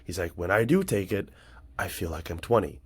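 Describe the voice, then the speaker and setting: Deep-voiced man in casual conversation. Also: animated, a little fast, husky. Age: late 30s. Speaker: podcast conversation partner. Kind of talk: casual podcast chat.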